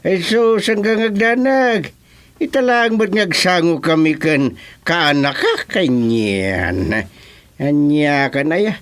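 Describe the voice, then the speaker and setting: A man's voice: a little high, fairly bright tone. A man playing a worried, fussing middle-aged village uncle with an Ilocano accent and many exclamations, in a rural radio comedy drama. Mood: concerned, teasing. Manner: exclamatory, drawn-out interjections.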